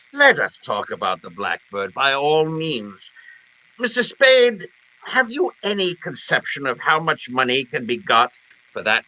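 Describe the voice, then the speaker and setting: Male radio drama villain: fat, jovial crime boss, florid and calculating, swooping pitch, very forceful tone. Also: full character voice, poor audio. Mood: jovial, expansive. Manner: florid, theatrical, deliberate phrasing. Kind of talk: old-time radio drama acting.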